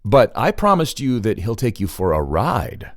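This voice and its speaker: A chatty narrator of a children's story. His voice is fairly low and recorded very dry. He muses aloud in an amused, conversational way. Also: sing-song, moderate pace, no room sound.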